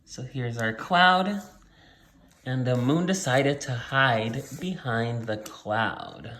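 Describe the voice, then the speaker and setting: Guy teacher doing a kids read-aloud, takes it slow, echoey room. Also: mid-range voice, animated, sharp tone. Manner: simple explanatory phrasing with repetition for children. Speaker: teacher reading aloud to children. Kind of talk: read-aloud storytelling lesson for young children.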